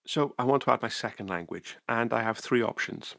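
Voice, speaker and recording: A man calmly narrating a software tutorial screencast, explaining each step methodically. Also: medium pitch, brisk, gravelly, dry recording.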